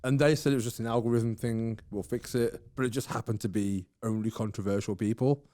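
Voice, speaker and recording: A man co-hosting a casual podcast chat, his voice dark and mellow. He rambles through long asides and laughs a lot. The sound is close and dry, with no room around it. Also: medium pitch, fairly even intonation, a little fast, dry recording. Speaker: podcast co-host.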